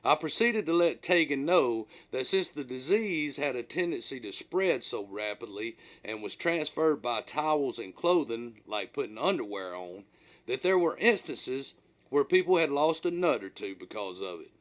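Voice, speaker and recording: A man's voice: medium pitch, expressive, moderate pace. A man reading his own humorous story as narrator, with a dramatic, mock-serious, folksy delivery.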